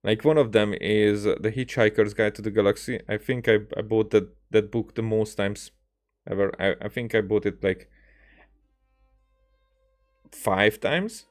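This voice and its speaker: Halting man streaming casually over music. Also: fairly low pitch, fairly even intonation. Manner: halting, rambling, repeats words as he tells anecdotes. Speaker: game streamer. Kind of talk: casual livestream chat while gaming.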